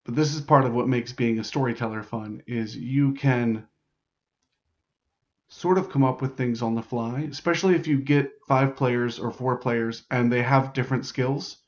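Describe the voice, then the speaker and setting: A man's voice: mid-range voice, fairly even intonation, normal speed. Man giving a casual tutorial, dull lo-fi sound. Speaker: host explaining how to write larp game modules.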